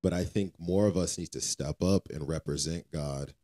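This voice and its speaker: A man's voice: very deep voice, moderate pace, mellow. A man co-hosting a faith podcast, talking casually in an earnest, exhorting way and repeating phrases for emphasis. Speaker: podcast co-host.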